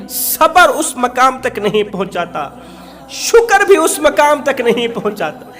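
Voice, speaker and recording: A man's voice: very high voice, a bit bright. A man preaching an emotional Islamic storytelling sermon, impassioned and emphatic, repeating phrases for effect.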